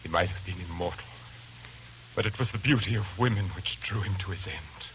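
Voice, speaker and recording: A low-pitched, brooding male lodger in an old radio thriller. He speaks formally, with menacing intensity, on a noisy vintage recording. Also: fairly quick, fairly bright tone. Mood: soft, brooding. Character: brooding, fanatical male lodger obsessed with evil beauty. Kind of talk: 1940s radio suspense acting.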